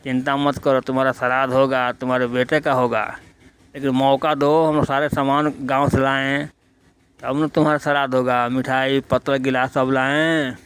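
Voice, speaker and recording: Man playing a clear-voiced, crafty village sidekick. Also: medium pitch, flat delivery, moderate pace, fairly bright tone, natural voice. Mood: placating. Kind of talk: Hindi cartoon story voice acting.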